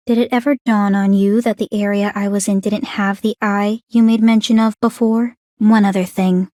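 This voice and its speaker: A woman's voice: medium pitch, mellow, no room sound, studio quality, close to an everyday voice. A woman playing an aloof, bookish young warrior maiden in a video game, speaking in a composed, formal, precise and detached way. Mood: detached, calculating. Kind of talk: English video game voice acting.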